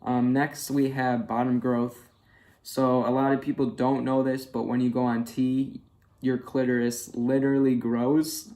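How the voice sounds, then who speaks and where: Early twenties guy vlogging, chatty and candid, kinda monotone, um-heavy. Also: mid-range voice, a little slow. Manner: candid, chatty, with many fillers. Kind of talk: casual personal vlog.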